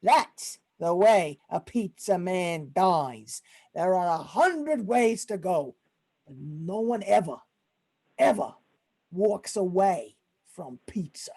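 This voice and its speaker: A woman's voice: lowish voice, animated, takes it slowly, warm tone, slightly rough, heavily acted. A woman playing an exasperated male mob boss who runs a pizza parlor in a comic radio play, talking in melodramatic, colloquial tough-guy style. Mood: grimly dramatic.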